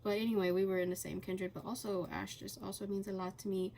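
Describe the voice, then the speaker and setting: A woman chatting casually and rambling while coloring, halting often with fillers and laughing between thoughts. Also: mid-range voice, little pitch movement, vocal fry, a little mellow, a little hiss or hum. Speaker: channel host.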